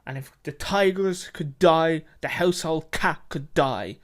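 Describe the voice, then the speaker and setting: A man telling a dramatic short story, in halting, repetitive short phrases. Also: on the high side.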